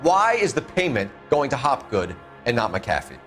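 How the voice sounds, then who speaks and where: A stern noir detective. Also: male voice, mid-range voice, big pitch swings, moderate pace, a bit bright, faint music behind. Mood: probing. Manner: cool, pressing questions. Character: stern male LAPD detective, relentless. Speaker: police detective. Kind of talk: 1940s noir video game acting.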